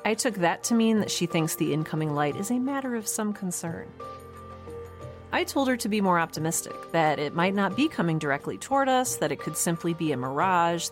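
An actress playing a wry lone space scientist who records a log with dry humour in an audio drama. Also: female voice, on the low side, lightly acted.

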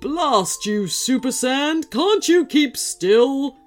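Woman playing a booming, boastful evil wolf villain. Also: big pitch swings, takes it slowly. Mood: angry. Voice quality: growly. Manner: booming, theatrical, gloating. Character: boastful evil genius male wolf villain, monstrous and scheming. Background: music.

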